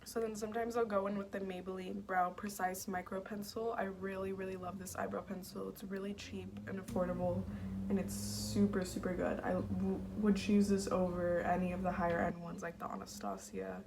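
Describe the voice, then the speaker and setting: Raspy young woman chatting through makeup. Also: mid-range voice, little pitch movement, normal speed, noisy background. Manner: chatty, slips into whispers while working. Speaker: beauty vlogger. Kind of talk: casual makeup tutorial. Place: home, at a mirror.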